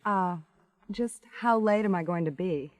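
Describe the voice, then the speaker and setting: A woman's voice: medium pitch, a little slow, darkish tone, no room sound, close to an everyday voice. A woman playing a frank, worldly, businesslike American woman in a naturalistic radio drama. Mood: casual, knowing. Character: frank, worldly woman who charges for company.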